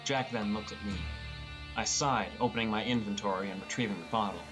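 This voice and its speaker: A man's voice: fairly low pitch, steady tone, brisk, music in the background. A man reading a scripted first-person story as an audiobook narrator.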